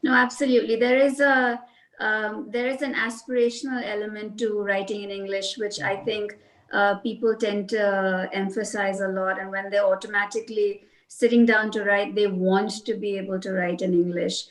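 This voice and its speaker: A woman's voice: mid-range voice, flat delivery, normal speed. Articulate woman in an echoey room. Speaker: panelist, writer. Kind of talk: casual panel chat on a video call. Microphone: video call. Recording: echoey room on a video call.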